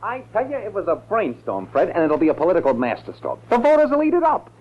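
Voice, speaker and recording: An actor playing an eager, scheming campaign manager in a 1940s radio drama, with an American accent and an excitable, emphatic sales pitch. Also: male voice, husky, exaggerated voice. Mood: excited, pitching. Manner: excitable, fast-selling, emphatic. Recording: muffled 1940s radio recording.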